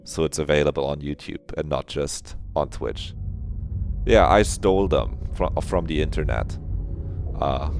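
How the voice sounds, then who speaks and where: A man's voice: a bass voice, a little mellow. A man hosting a livestream, chatting casually and rambling easily to his viewers. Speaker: livestreamer and host. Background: background game music.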